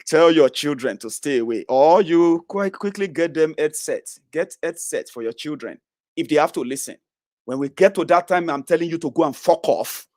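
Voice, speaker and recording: A man's voice: no room sound, studio quality. Blunt, profane man ranting. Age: 30s. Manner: blunt and profane, swinging from loud outbursts to low mutters. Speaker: online political commentator. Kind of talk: ranting monologue to followers.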